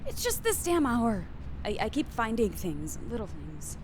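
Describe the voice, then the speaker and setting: A woman playing a distraught bar owner in a sci-fi radio drama, speaking in a halting, guarded way. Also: a little high, very animated, a little hiss or hum. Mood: troubled, guarded.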